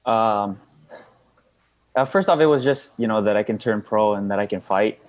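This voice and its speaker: A man's voice: fairly low pitch, moderate pace. A young man whose first language is Bisaya answers interview questions casually and hesitantly, with lots of "uh" and "you know.". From Los Angeles. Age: young adult. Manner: hesitant, many fillers. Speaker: guest boxer.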